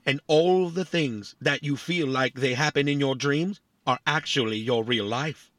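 An actor playing a small, vain, philosophical robot in a family audio drama, boastful and politely fussy. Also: male voice, medium pitch, a little slow, fairly bright tone, no room sound, studio quality, natural voice. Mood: vain, musing.

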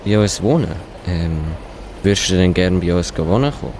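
A man's voice: low voice, expressive, moderate pace. A male performer with a Swiss German accent playing an easily flustered man in an amateur radio play. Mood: hesitant, kindly. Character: English family father and grumbling taxi driver, easily flustered. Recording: clear outdoor traffic-like background noise.

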